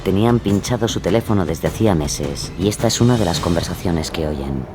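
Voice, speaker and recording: Low male narrator over loud music. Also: lively intonation, fairly quick. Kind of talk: scripted true-crime narration.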